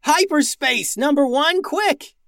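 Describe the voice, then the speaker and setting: A man voicing Captain Max, a bold, hot-headed woman who commands a starship crew and shouts her orders, in a storybook reading. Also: lowish voice, big pitch swings, measured pace, no echo, clean studio sound, pitched above the performer's own voice. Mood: shouting orders.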